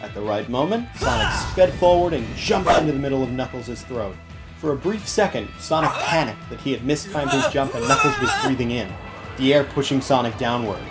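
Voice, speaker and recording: A man's voice: mid-range voice, lively intonation, brisk. Male narrator: earnest dramatic storytelling, mid-range voice, music underneath. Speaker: audiobook narrator.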